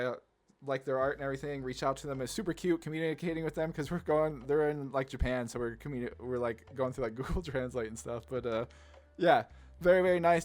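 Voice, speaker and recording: A man giving casual, enthusiastic card game commentary as a channel host, talking in a rambling way peppered with "like". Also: mid-range voice. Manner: rambling, enthusiastic, heavy use of "like".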